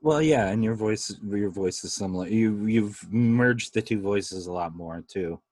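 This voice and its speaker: A man hosting a casual interview chat, with a deep bass voice and a halting, filler-heavy way of talking. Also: darkish tone, no echo. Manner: halting, many fillers and restarts, laughs often. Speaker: podcast host.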